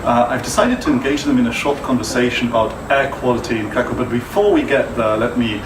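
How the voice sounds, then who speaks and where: A man's voice: mid-range voice, fast talker. A man hosting a live interview in an office, introducing guests and asking questions. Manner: chatty, leading questions. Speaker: host. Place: office contact centre. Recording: roomy, distant mic, background hum.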